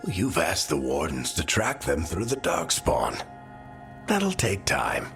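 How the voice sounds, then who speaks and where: Older game mentor: very low, expressive, dry and weary, music underneath. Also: male voice, slow, creaky, heavily acted. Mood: grave, encouraging. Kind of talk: video game dialogue acting.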